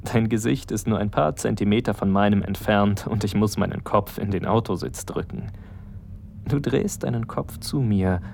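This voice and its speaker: A man's voice: lowish voice, creaky, darkish tone, a little hiss or hum. An actor playing a smitten young male best friend, narrating an intimate audio drama in the first person, musing and addressing the listener as you. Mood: fond, drawn.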